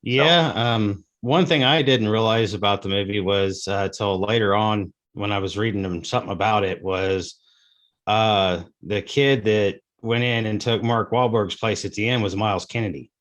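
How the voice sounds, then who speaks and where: A man's voice: lowish voice, moderate pace, a bit bright. A man hosting a casual interview over a video call, enthusiastic and folksy with loose grammar. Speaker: interview host.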